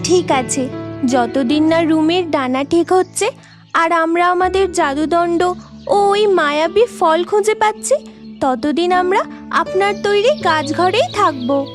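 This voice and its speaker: A decisive young fairy played by a woman in a cartoon fairy tale. She speaks earnestly in an extremely high voice, with faint music underneath. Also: measured pace. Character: decisive young female fairy of a magic city.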